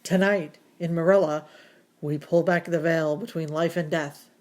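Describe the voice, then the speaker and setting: A woman playing the ominous host of a horror radio show, with theatrical, drawn-out delivery. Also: deep voice, steady tone, darkish tone. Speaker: announcer and host of a radio thriller series. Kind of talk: theatrical radio thriller narration.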